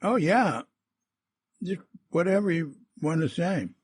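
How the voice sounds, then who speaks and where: A man interviewing a guest, asking slow, gentle questions. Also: fairly high pitch, lively intonation, husky, no room sound. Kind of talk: casual interview chat.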